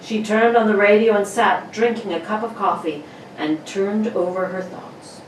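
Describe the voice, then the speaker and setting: A woman's voice: medium pitch, steady tone, normal speed. A woman narrator reading a radio play script in a dramatic storytelling style. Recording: roomy, distant mic, faint background noise.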